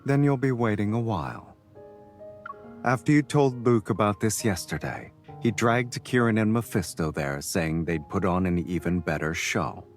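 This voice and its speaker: A man playing a suave, devoted lover who teases gently and intimately in a romantic audio drama. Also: normal speed, soft background music, close to an everyday voice. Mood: teasing, amused. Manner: low-key, teasing, intimate. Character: suave, teasing, devoted adult male lover.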